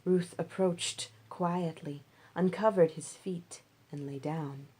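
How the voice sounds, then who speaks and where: A woman reading scripted narration of a Bible story, in an expressive storytelling manner. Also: lowish voice, slow, breathy edge. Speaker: reader.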